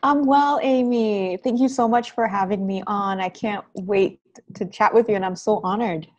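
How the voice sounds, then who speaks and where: A woman's voice: medium pitch, fairly even intonation, normal speed, a little mellow, highs cut off. A woman from Haiti who hosts a podcast, speaking in an earnest, effusive way as she introduces the show and tells her story. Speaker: podcast host. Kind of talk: podcast intro and interview answers.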